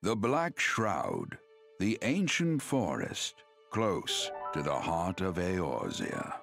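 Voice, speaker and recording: A solemn fantasy game narrator, speaks slowly in a deep voice with a gravelly edge. The delivery is grand and portentous. Music swells clearly behind the words. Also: male voice, full character voice. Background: prominent music.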